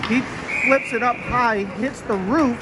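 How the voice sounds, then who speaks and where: A hoarse man with a cold, calling hockey play-by-play in a brisk, matter-of-fact way. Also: extremely high pitch, very noisy. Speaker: play-by-play commentator.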